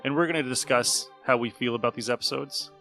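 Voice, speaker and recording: A man's voice: mid-range voice, quick pace, creaky, pushed and bright. A man hosting a podcast, reading a scripted introduction to an old radio show. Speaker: podcast host. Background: music.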